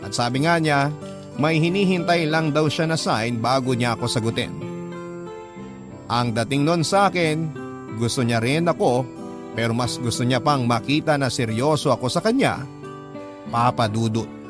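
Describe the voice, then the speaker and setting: A man's voice: mid-range voice, normal speed, pauses often, over music, close to an everyday voice. An actor playing a lovestruck young man narrating his love story in a radio drama, sincere and reflective, with a Filipino accent. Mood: wistful, sincere. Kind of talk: scripted first-person radio drama narration.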